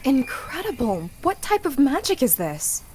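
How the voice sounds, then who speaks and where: A woman's voice: sits high, wildly swooping pitch, a little slow, a little mellow, slight background noise, voice pushed up, heavily acted. A woman voicing a curious, polite but sceptical young female traveler in a visual novel. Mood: amazed. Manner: polite, inquisitive.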